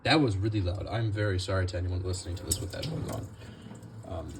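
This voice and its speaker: A man's voice: deep voice, fast, heavy background noise. A young man casually cooking a stew and rambling in a self-deprecating way, full of fillers, recorded in his home kitchen on a camera mic that he sometimes turns away from. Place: home kitchen, moving around the stove.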